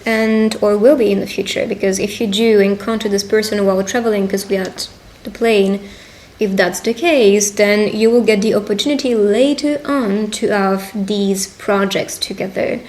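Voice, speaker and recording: A woman speaking conversationally and encouragingly as a witch giving a personal love reading, pausing to interpret as she goes. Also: medium pitch, fairly even intonation, a little mellow. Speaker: tarot reader and roleplay video host. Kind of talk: intimate roleplay fortune-telling addressed to the listener.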